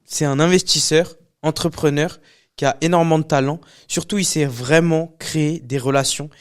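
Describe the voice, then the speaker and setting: A man hosting a podcast, greeting viewers and warmly introducing his best friend as the guest. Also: a little high, fairly even intonation, moderate pace, clear, resonant tone. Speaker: podcast host. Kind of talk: casual podcast talk.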